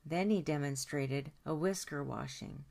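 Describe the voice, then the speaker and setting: A woman with a low-pitched voice reads a picture book aloud for children. Her delivery is expressive and deliberate, and she draws out key words for emphasis as a storyteller would. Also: creaky. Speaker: storytime host reading aloud.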